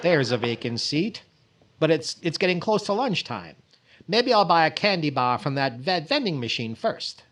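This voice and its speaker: A man's voice: on the high side, very animated, very noisy. A man playing a vain, thrifty, flustered middle-aged comedian who stammers indignantly in old-time radio comedy style. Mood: mild, hesitant. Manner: stammering, indignant, drawn-out delivery.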